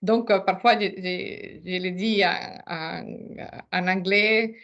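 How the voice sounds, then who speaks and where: A woman's voice: mid-range voice, fairly even intonation, slow, a bit bright, muffled recording. A non-native French-speaking woman with a foreign accent presenting a webinar informally, searching for words and often repeating them. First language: not French.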